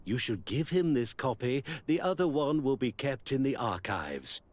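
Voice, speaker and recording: An officious minister from a fantasy video game. His medium-pitched voice rises and falls widely, pompous and obsequious, every phrase deliberately placed. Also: sing-song, no echo. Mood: obliging. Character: officious, wily male government minister of trade. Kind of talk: video game cutscene acting.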